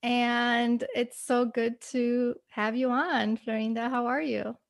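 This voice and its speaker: A woman's voice: fairly high pitch, little pitch movement, takes it slowly, creaky. A young American woman hosting a podcast, chatting casually with lots of "you know" and "like". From United States, family from Kosovo. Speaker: podcast host. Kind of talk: casual interview chat.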